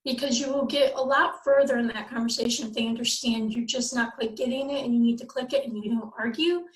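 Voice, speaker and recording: A woman's voice: fairly high pitch, fairly even intonation, a little fast, reverberant, rough recording. A woman speaking as a round table panelist, giving blunt, direct advice.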